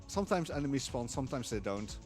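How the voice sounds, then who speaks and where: A man calmly explaining tricks while playing a game live, with frequent fillers. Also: mid-range voice, quick pace, faint music behind. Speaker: speedrunner. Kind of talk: live speedrun commentary.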